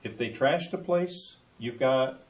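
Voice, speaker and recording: A man speaking in a halting, deliberate way as a board member at a public meeting in a hall. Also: mid-range voice. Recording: muffled, echoey meeting room, background hiss.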